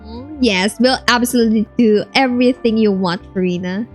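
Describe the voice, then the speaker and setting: A woman with a fairly high voice gives informal gaming commentary. She talks back to the characters casually, as a streamer does, with faint game music behind her. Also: moderate pace.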